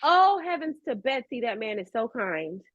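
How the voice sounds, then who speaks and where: An American woman chatting casually as an interview guest, expressive, earnest and effusive in how she talks. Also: on the high side, moderate pace, no echo, muffled recording. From California, USA. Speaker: voice actress, podcast guest.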